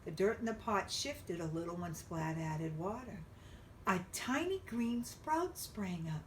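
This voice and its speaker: A woman reading a picture book aloud to young children, expressively and with drawn-out emphasis. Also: mid-range voice, expressive, recorded from a distance, a little hiss or hum. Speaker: children's storybook reader.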